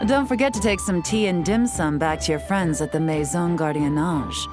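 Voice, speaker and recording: A woman voicing a calm, composed female intelligence agent who explains things in a measured, dry way, in a video game. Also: lowish voice, lightly acted. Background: soft game music.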